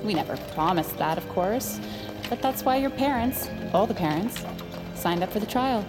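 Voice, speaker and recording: An actress playing a cold, smug scientist villain in an audio drama, condescending and gloating beneath a soothing front. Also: female voice, medium pitch, expressive, moderate pace, close to an everyday voice. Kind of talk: scripted audio drama acting. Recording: dramatic music behind the voice.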